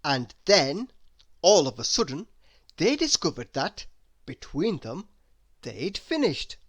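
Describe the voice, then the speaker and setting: A man reading a children's story aloud in a deliberate, expressive way, leaning on key words. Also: fairly high pitch, husky. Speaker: storybook reader.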